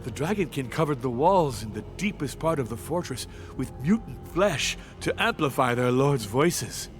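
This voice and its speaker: A man playing a weary but steadfast soldier survivor in a fantasy game, reporting gravely. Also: medium pitch, animated, normal speed, lightly acted. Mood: sombre. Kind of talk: dramatic fantasy game cutscene acting. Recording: prominent background game music.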